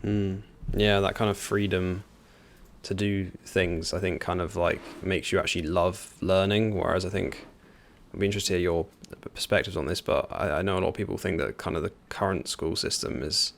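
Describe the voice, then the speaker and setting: A man hosts a podcast interview, with a very deep voice and a gravelly edge. He asks questions in a relaxed way, hedging with small fillers. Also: normal speed. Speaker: podcast host.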